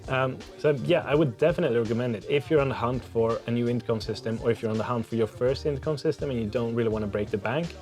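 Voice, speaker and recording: A man's voice: medium pitch, fast, hardly any pauses, darkish tone, soft background music. A man hosting a tech channel, talking through a product review to camera in a casual, conversational way.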